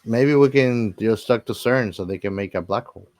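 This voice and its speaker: Low-voiced man chatting casually. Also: moderate pace, darkish tone. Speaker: podcast host. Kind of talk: casual movie discussion chat.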